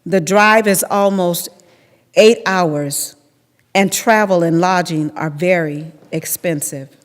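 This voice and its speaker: A woman's voice: lowish voice, slow. A middle-aged woman giving a dramatic reading of testimony at a lectern. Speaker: reader in a readers theater. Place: large temple sanctuary, at a lectern. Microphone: podium microphone on a boom stand. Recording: long hall reverb.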